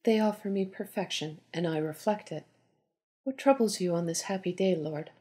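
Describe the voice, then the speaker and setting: A woman playing a poised, pragmatic Egyptian goddess queen and oracle in an audio drama, with measured, formal delivery. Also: fairly low pitch, mellow, close to an everyday voice. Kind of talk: dramatised audio story reading.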